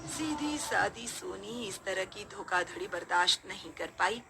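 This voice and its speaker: A woman's voice: medium pitch, animated, a little slow, gravelly, over music. A woman narrating a scripted horror story.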